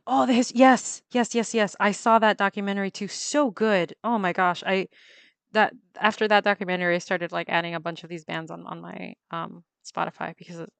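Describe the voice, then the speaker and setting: A woman's voice: medium pitch, little pitch movement, fairly quick, creaky, clear, resonant tone, no room sound. A woman chatting casually while she draws on a livestream. She laughs often and fills her talk with ums and restarts. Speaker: livestreamer drawing on a tablet. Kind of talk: casual chat while drawing.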